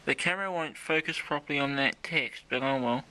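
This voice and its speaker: A man's voice: medium pitch, brisk, sharp tone, a little hiss or hum, poor audio. An Australian man casually presenting a demonstration video, informal and rambling as he thinks aloud. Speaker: video host demonstrating a vintage radio.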